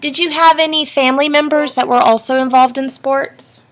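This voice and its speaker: Woman interviewer, measured and formal. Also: sits high, steady tone. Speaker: interviewer for an archive. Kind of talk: oral history interview.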